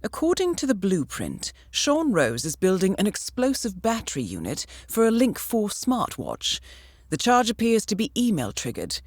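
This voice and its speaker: A woman's voice: medium pitch, wildly swooping pitch, moderate pace, dry recording. A woman playing a composed intelligence handler in a video game, briefing an assassin formally and with dry wit. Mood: cool, businesslike. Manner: composed, formal, dryly witty. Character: poised female intelligence handler briefing an assassin, cool and wry. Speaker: mission handler.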